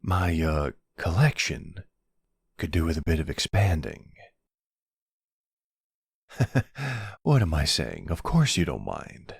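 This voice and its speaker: A flirty, sardonic vampire played by a man in an ASMR audio drama. His voice is husky and full of gravelly fry, swinging expressively as he taunts his rival. The delivery is smug and teasing, laced with dry sarcasm. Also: a bass voice, very animated, takes it slowly, long pauses, no room sound, heavily acted. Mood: mocking, sultry.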